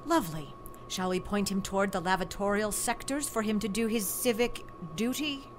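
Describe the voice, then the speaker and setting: A woman's voice: mid-range voice, moderate pace, noisy background, natural voice. A woman playing a haughty, coldly sarcastic scientist in an audio drama, clipped, formal and imperious. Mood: scornful.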